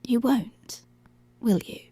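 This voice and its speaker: A woman playing a dominant, teasing, seductive policewoman in an intimate ASMR roleplay, with a breathy, whispery voice and slow, deliberate, commanding delivery. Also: warm tone, no echo, lightly acted. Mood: teasing, controlling. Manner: slow, deliberate, controlling, drawn-out phrases.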